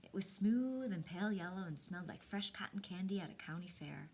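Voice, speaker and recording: Husky, animated woman reading a storybook. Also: fairly low pitch, brisk. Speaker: storybook reader. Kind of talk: expressive storybook narration.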